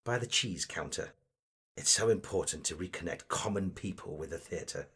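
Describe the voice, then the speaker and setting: A man's voice: deep voice, normal speed, fairly bright tone, husky, heavily acted. A man playing a vain, snobbish amateur actor in a comic audio drama, with affected, gushing, pompous delivery. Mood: fawning, self-important.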